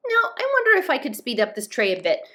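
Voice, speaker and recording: Woman as a brisk, fearless little girl creature. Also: high voice, huge pitch swings, sharp tone, pitched above the performer's own voice, exaggerated voice. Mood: brisk, cheerful.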